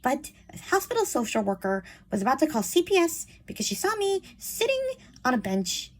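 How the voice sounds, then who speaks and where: Woman with a childish, lively voice. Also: high voice, fairly quick. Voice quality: childish-sounding voice. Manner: chatty, rambling, frank, with many fillers. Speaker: vlogger. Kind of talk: casual chat to camera.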